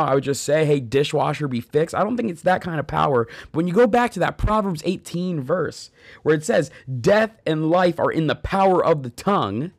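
Man in his thirties, gravelly edge, fairly high voice, dry close sound, earnest devotional talk. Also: no echo. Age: 30s. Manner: earnest, conversational, with personal stories; addresses listeners directly. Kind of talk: devotional podcast talk on scripture.

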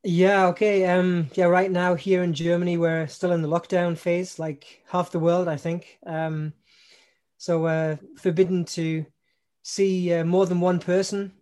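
A man's voice: high-pitched, measured pace. A man from England, a singer-songwriter guest, chatting casually from home with lots of ums and easy laughter. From Newcastle upon Tyne, England. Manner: relaxed, laughs often, many ums and restarts. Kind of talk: casual interview chat.

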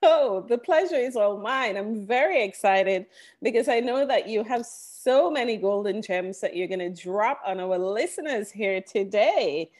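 A woman's voice: medium pitch, expressive, unhurried. A woman hosting a podcast with an upbeat, emphatic announcer-like delivery. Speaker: podcast host. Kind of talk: upbeat scripted podcast introduction and interview. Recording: loud music behind the voice.

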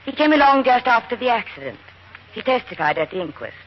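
A grieving, guarded young actress. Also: female voice, fairly high pitch, sing-song, fast, dull audio. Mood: sorrowful. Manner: quiet, guarded and sorrowful. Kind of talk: 1940s radio acting. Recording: hissy old radio broadcast recording.

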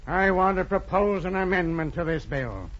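A man's voice: high-pitched, expressive, moderate pace. A man playing an earnest nineteenth-century senator making a formal speech in 1940s radio drama style. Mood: earnest, persuasive. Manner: formal, oratorical. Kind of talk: 1940s radio acting, oratory. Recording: muffled 1940s radio recording.

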